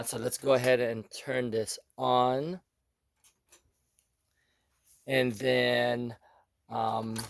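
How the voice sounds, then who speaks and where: A man presents a casual hands-on tutorial as the host. His voice has a marked creak and gravel to it, recorded dry with very little room sound. He speaks haltingly, working out his explanation as he goes. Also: medium pitch, no room sound. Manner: halting, thinking aloud as he goes. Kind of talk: casual how-to demonstration.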